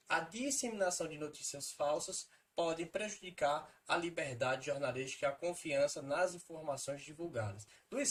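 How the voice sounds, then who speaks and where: A Brazilian man giving an academic presentation in formal wording, with hesitant pauses as he moves through his points. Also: fairly high pitch, fairly bright tone. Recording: faint background noise.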